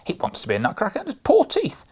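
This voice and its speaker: A man voicing a rough, scornful young boy in a read-aloud storybook, speaking loudly. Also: high voice, wildly swooping pitch, fast talker, voice pushed up, full character voice. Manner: loud, scornful.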